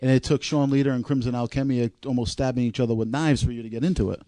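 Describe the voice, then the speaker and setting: Mellow-voiced man in loose podcast banter. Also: medium pitch, fairly even intonation, fast talker. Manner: loose and joking, swears casually. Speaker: podcast co-host. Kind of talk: casual podcast chat.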